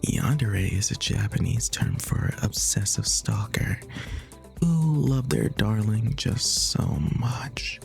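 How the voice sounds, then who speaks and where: A man playing a sweetly menacing, obsessive stalker in a comic audio roleplay, soft, breathy and matter-of-fact. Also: lowish voice, animated, slow pace, soft background music, exaggerated voice. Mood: sweetly creepy. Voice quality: breathy, whispery.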